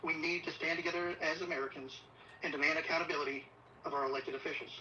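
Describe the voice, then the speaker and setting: A middle-aged man, a police official, delivering an earnest prepared video statement in a solemn, deliberate, urgent way. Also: a little high, fairly even intonation, sharp tone. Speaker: assistant police chief. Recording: distant, muffled playback with faint noise. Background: faint background noise.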